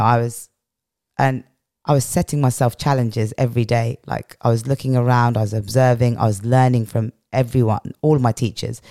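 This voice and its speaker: A woman's voice: very deep voice, mellow, dry recording, clean studio sound. A middle-aged British woman with a strong voice, telling personal stories as a podcast guest and repeating phrases for weight. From England. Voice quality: strong, powerful voice. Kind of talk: reflective personal storytelling in an interview.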